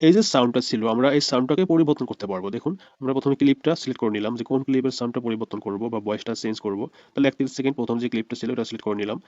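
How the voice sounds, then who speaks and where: A man's voice: mid-range voice, racing, hardly any pauses, darkish tone, dull audio. A man narrating a screen tutorial as an instructor, explaining step by step and repeating his points. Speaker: video editing instructor.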